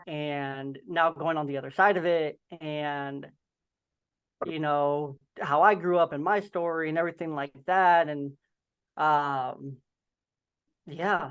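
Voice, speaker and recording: A man's voice: on the high side, a bit bright, smooth. A young man with a slight lisp and an occasional stutter tells his story in a casual, rambling way full of fillers on a video call. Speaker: podcast host and guest. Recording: dull lo-fi video call audio.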